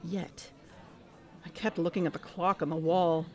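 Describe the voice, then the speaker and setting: A woman's voice: on the low side, steady tone, measured pace, a little mellow, a faint crowd, natural voice. An actress playing an uneasy, watchful woman investigator who tells her story in a scripted audio drama. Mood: uneasy, reflective. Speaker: narrator and lead character of an audio drama. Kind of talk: scripted first-person narration and dialogue.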